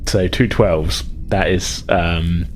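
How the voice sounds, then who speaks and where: A man acting as game master, narrating a tabletop game casually in a halting, explanatory way. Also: sits low, steady tone, slight background noise.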